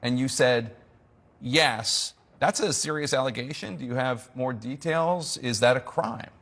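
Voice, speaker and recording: A man's voice: medium pitch, a little slow, vocal fry, fairly bright tone. A man reporter questioning a lawmaker, pressing with direct questions. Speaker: news reporter.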